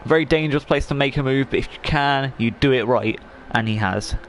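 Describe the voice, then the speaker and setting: A man's voice: mid-range voice, brisk, slight background noise. Casual male commentator losing his voice. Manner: chatty, rambling, frequent fillers and restarts. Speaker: race commentator for an online racing league. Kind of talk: casual sim racing commentary.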